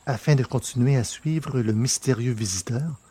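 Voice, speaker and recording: A man with a slightly husky voice reading scripted fiction as an audiobook narrator. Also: medium pitch, moderate pace, mellow, no room sound.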